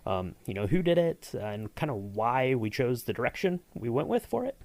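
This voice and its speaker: A man's voice: medium pitch, sing-song, normal speed, darkish tone, no room sound. A man co-hosting a podcast, chatting casually and rambling with lots of fillers and restarts. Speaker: podcast co-host. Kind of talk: casual behind-the-scenes chat.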